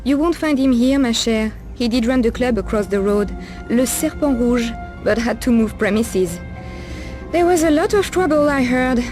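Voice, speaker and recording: A woman's voice: fairly high pitch, expressive, normal speed, over music, natural voice. A woman playing a world-weary, streetwise Parisian streetwalker in a video game, knowing, familiar and sardonic. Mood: dismissive, teasing. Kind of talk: video game cutscene acting.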